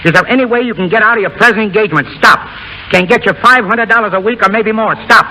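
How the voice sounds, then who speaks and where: A cocky burlesque clown, 1930s radio, high voice, rattles off slangy wisecracks fast. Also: male voice, bright, forceful tone, slight background noise, low-quality recording. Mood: dismissive. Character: brash, carefree wisecracking burlesque comic, a man. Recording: vintage 1930s radio broadcast, background music.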